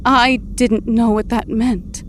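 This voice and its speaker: Casting: vulnerable, earnest superheroine, higher-pitched, halting delivery, some noise behind her. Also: level tone. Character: earnest, confused heroine returned from death. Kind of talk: audio drama acting.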